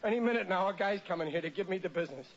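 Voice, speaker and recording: An excitable, anxious working-class husband who blusters through everything in a high voice. It is old radio comedy, with a muffled sound. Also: male voice, very fast. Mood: worried, blustering. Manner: blustering, melodramatic, ungrammatical. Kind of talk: 1940s radio comedy acting.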